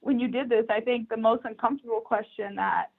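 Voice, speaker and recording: A woman's voice: on the high side, steady tone, a little fast, creaky, slightly rough. Young woman recruiter, upbeat and chatty, laughs easily, thin phone-quality audio. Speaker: recruiter, podcast guest. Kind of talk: casual interview chat. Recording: tinny phone-like line.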